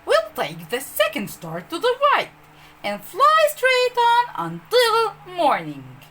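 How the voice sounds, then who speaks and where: A woman voicing an adventurous, eager young boy who can fly, in an emphatic, excited storybook reading. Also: extremely high pitch, slow, voice pushed up, heavily acted, poor audio. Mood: bold, excited. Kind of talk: children's storybook character reading.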